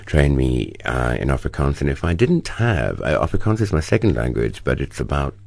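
A middle-aged man with a South African accent speaks in an extremely low, heavily gravelly voice with a husky edge. He recounts his acting career expressively, rising into animated bursts. The sound is dry and close. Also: normal speed, dry recording. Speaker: actor, guest. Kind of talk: interview conversation.

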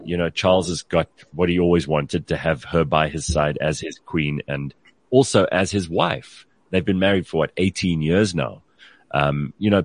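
A man's voice: rumbling low, no room sound. A man hosting a talk show, giving blunt opinions and putting questions in a conversational way.

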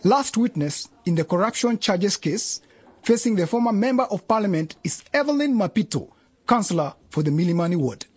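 An actor playing a stern, formal male judge with a husky voice in a radio drama. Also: sits high, lively intonation, brisk, a little mellow, lo-fi sound, slight background noise. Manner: formal, commanding. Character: stern male judge presiding over a corruption trial.

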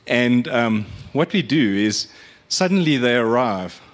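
A man's voice: mid-range voice, animated, unhurried. A man giving an informal, earnest, explanatory presentation to an audience. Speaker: media agency speaker. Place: live event venue.

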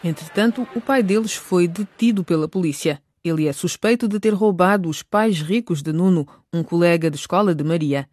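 Male narrator for radio serial, scripted introductions and recaps, notably high voice. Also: moderate pace, hardly any pauses, a little mellow, dry recording, clean studio sound.

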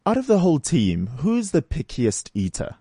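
A man's voice: on the high side, huge pitch swings, moderate pace, mellow, slightly rough, no room sound, clean studio sound. A man hosting a talk show interview, asking friendly, playful questions in a chatty way. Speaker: radio talk show host. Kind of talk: casual interview chat.

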